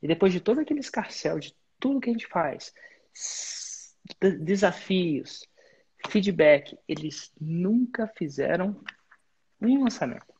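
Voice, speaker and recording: Husky man coaching students. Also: high voice, animated, measured pace, darkish tone, highs cut off. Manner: direct, instructive, uses sports-belt metaphors. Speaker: business mentor and host. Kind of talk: live coaching session.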